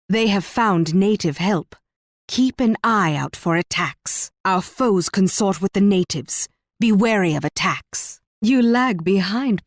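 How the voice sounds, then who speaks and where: A woman's voice: mid-range voice, no room sound. The imperious Spanish monarch of a strategy game, issuing commands from her throne in sweeping, melodic condescension, recorded bone-dry. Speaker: video game character voice actor. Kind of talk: scripted strategy game voice lines.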